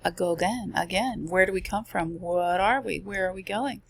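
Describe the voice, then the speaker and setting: A woman's voice: mid-range voice, moderate pace, dry recording. A woman who is losing her voice, presenting art slides in a chatty way, reading passages and adding casual asides. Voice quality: gravelly. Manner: chatty, reads passages then comments with asides.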